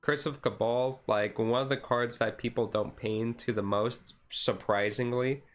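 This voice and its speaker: A man streaming a casual ASMR-style card showcase, easygoing and halting as he reads out cards, his voice a little rough and taken gently. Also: mid-range voice, steady tone. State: voice slightly rough, being taken easy.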